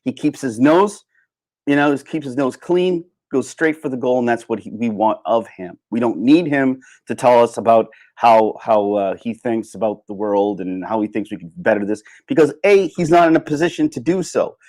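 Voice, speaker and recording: A man giving a casual, opinionated livestream rant, sardonic and emphatic, with halting starts and repeated phrases. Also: medium pitch, darkish tone. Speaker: livestream host.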